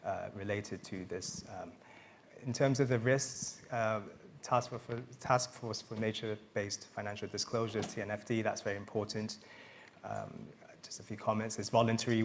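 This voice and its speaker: A man's voice: lowish voice, slight background noise. A man speaking as an investment firm founder on a panel, hesitant and full of fillers. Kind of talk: panel discussion.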